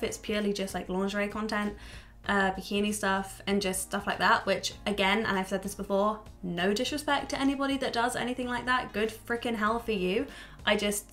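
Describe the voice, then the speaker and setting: A woman's voice: medium pitch, a bit bright. Chatty stuffy-voiced young woman. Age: 20s. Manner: chatty, candid, swears freely, laughs often. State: stuffy from a cold. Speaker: YouTuber. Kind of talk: casual chat to camera. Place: small indoor room at home.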